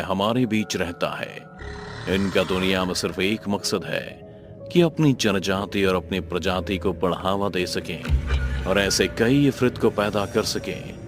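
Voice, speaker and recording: Deep-voiced male horror narrator. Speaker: storyteller. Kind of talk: scripted horror story narration. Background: music.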